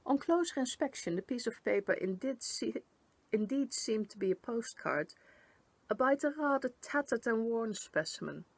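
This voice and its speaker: A woman narrating a first-person storybook tale in an expressive read-aloud manner. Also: fairly high pitch, moderate pace, no echo. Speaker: story reader.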